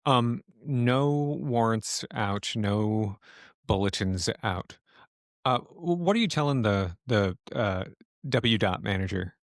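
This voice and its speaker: A man acting as game master, improvising details in casual, halting speech with some swearing. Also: fairly low pitch, animated, no room sound, studio quality. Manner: halting, profane. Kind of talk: improvised tabletop game narration and casual chat.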